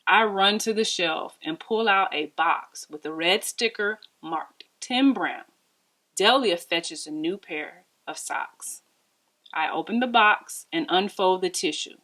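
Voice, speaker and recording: A woman reading a children's story aloud in an expressive, deliberate storytelling style. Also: lowish voice, low-quality recording. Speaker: read-aloud storybook reader.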